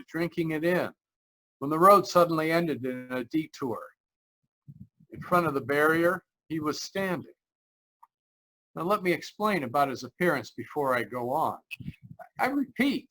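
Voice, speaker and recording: Man acting a higher-voiced, uneasy motorist in radio mystery. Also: animated, normal speed, a bit bright, poor audio. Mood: haunted, brooding. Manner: hesitant, dramatic storytelling with anxious stammers. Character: uneasy male motorist recounting an eerie road trip. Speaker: actor, lead narrator. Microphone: remote video call.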